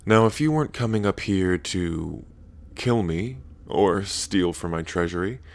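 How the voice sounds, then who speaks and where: A man's voice: low-pitched, animated, unhurried. A man playing an ancient dragon king in human form, smug and teasing, with a drawling, taunting delivery in a roleplay ASMR. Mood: curious, wry.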